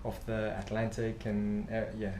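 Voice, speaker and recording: A middle-aged man from South Africa, an author and travel writer, telling stories in a relaxed interview with lots of fillers. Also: on the low side, a little slow. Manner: rambling, warm storytelling with laughs and many fillers. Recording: echoey room with faint noise.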